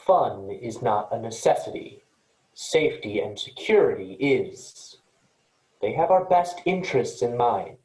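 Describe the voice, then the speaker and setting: A woman's voice: a bass voice, expressive, unhurried, a little mellow, echoey room, low-quality recording. A woman playing an earnest, insistent, rule-abiding programmer aboard a spaceship in a virtual staged play reading. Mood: calm, resigned.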